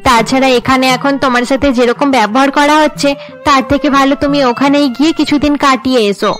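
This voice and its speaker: Outspoken young sister-in-law, played by a woman, cartoon drama, high bright register, caring. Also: steady tone, fairly quick, faint music behind, natural voice. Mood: cheerful, caring.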